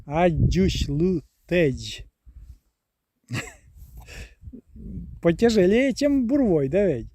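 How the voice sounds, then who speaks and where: A man streaming live outdoors from the countryside on a phone, chatting with viewers and spelling words out patiently. His voice sits a bit high yet sounds soft and mellow, and he talks slowly. Faint outdoor noise stays in the background. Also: expressive, dry recording. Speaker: live streamer from a rural village. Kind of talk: casual live stream, reading chat aloud. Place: outdoors in the countryside near a river, on the move.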